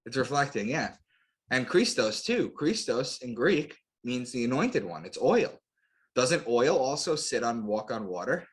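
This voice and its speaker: A man's voice: medium pitch, very animated, unhurried. Didactic man explaining point by point. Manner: didactic, short declarative phrases with rhetorical questions. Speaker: guest author and astrotheologist. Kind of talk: explanatory lecture in an interview.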